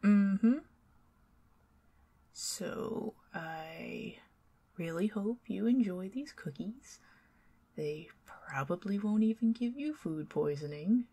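A young woman with a Southern US accent doing an ASMR roleplay as a chatty neighbor, rambling between soft talk and whispers with nervous giggles. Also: mid-range voice, expressive, takes it slowly, pauses often, slightly rough. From Southern United States. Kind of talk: ASMR roleplay, talking to the listener as a friendly neighbor.

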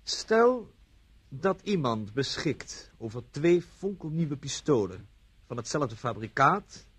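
An actor playing a cheeky, unflappable bon vivant suspect in a radio play, ironic and mock-polite. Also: male voice, medium pitch, big pitch swings, slow pace, breathy edge, full character voice. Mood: sardonic, insistent.

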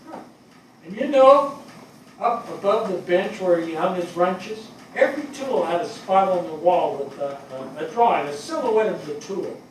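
An older man playing an affectionate, weary fire captain in a staged dramatic reading, telling stories conversationally with pauses and repeats. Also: high voice, expressive. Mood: fond reminiscing. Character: weary, affectionate fire captain reminiscing about lost men. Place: small theatre stage before an audience. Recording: echoey theatre, far from mic, faint noise.

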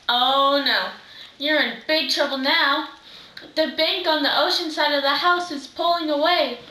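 A bossy, easily amazed storybook sidekick to a witch, played by a teenage girl. The voice is very high and bright, exclaiming from a little distance in an echoey living room. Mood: bossy, teasing. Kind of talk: readers' theater script reading. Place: living room, far camera microphone. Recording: roomy, distant microphone.

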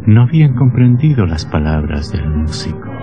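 Muffled radio recording, sinister male storyteller, very low voice, solemn, ominous horror narration. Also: big pitch swings, moderate pace. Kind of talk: scripted radio drama narration. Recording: muffled old radio sound, music behind.

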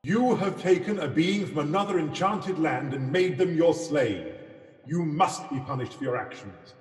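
A man's voice: on the high side, lively intonation, moderate pace, close to an everyday voice. Man as stern king, echoing from afar. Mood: outraged, commanding. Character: stern, imperious male fairyland king. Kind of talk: radio pantomime acting. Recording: echoey hall, distant from mic.

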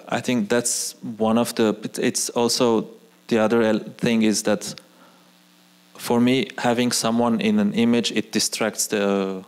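A man in his forties, a native Arabic speaker, speaking on an auditorium stage as a guest artist, pausing and restarting often. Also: fairly low pitch, smooth. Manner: hesitant, frequent restarts. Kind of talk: onstage conversation. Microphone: handheld mic.

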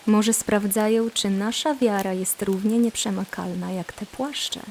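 A woman playing a wry, good-humoured travelling companion in a fantasy audio drama, playful and unhurried. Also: mid-range voice, steady tone, darkish tone, slight background noise, close to an everyday voice.

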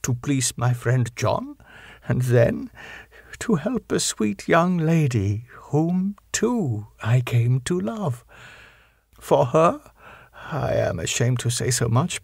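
A man voicing an elderly foreign professor in an audiobook, solemn and compassionate, with deliberate, formal phrasing. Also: on the high side, creaky, slightly rough, no room sound, clean studio sound, heavily acted.

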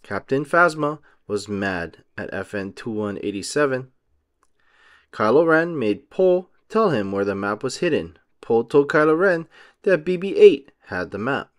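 Slow, lively man reading a children's storybook. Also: mid-range voice. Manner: deliberate, clearly phrased reading for young children. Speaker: storybook narrator. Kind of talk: children's read-aloud narration.

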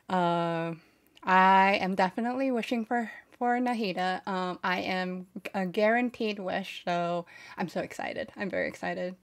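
A young adult woman hosting a reaction video in casual chat, recorded in a small home streaming room. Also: medium pitch.

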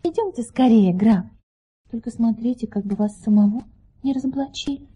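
A woman's voice: fairly high pitch, normal speed, noisy background, natural voice. An actress playing a teasing, self-assured aristocratic lady, formal, playful and persuasive, in a radio play. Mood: teasing, determined.

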